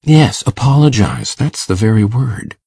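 A man playing a shy, kindly older farmer in a storybook, hesitant and folksy, coaxing gently and repeating himself. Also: fairly low pitch, big pitch swings, moderate pace, gravelly, husky, no echo, exaggerated voice. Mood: earnest, coaxing. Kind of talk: audiobook character acting.

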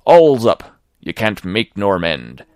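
A man's voice: fairly low pitch, wildly swooping pitch, slow, a little mellow, clear, resonant tone, no room sound, clean studio sound, heavily acted. A man doing a crafty old pirate cook. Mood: urgent coaxing. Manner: coaxing, urgent, old-sailor dialect.

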